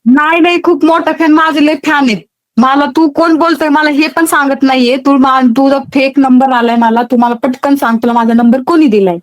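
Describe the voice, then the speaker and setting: A woman's voice: high-pitched, fairly even intonation, normal speed. A woman with a Maharashtrian accent in an argumentative phone call, scolding and nagging her caller with question after question. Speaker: social media video creator taking a fan's call.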